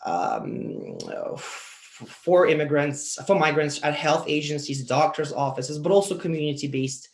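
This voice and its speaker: Gravelly-voiced man giving an academic talk. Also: a little high, very animated. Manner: frequent ums and uhs, measured and earnest. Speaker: conference presenter. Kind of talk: academic presentation.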